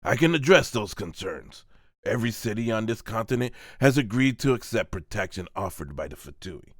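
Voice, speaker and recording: A man's voice: on the low side, lively intonation, moderate pace, no room sound, studio quality. Man playing a pushy, swooping scheming envoy. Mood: smooth persuasion. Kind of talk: dramatic manga voice-over.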